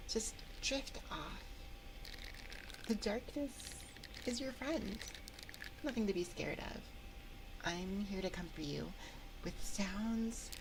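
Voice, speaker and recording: A woman voicing a friendly, possessive monster from under the bed in an ASMR roleplay, coaxing softly and often whispering into a close binaural microphone. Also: medium pitch, very slow, creaky, pauses often, noisy background, pitched above the performer's own voice. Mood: soothing. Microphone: binaural 3Dio microphone, close up.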